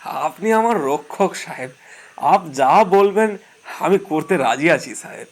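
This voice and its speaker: A man voicing a humble, poor Sherpa shopkeeper in his forties in an audio drama, deferential and halting. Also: a little high, animated. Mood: effusive gratitude.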